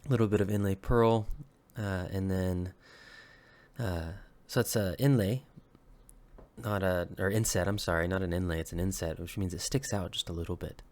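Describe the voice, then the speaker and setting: A slightly sick man in his thirties, from Hawaii, talks low and unhurried through his collection of handmade pieces. He fills gaps with ums and uhs and often stops to correct himself. The delivery stays casual, like a home show-and-tell video. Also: steady tone, darkish tone. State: slightly sick, recovering from illness. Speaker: woodworker and weapon maker.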